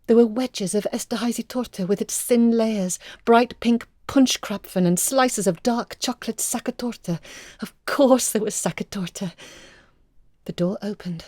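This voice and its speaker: A woman narrating a literary short story expressively, in measured, evocative phrases with hushed moments. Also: fairly high pitch, no echo, studio quality. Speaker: story reader.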